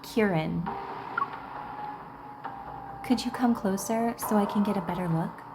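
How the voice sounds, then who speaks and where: A woman hosting a gaming video, reading game dialogue aloud and adding salty, expressive commentary. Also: medium pitch, unhurried, noisy background. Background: game music.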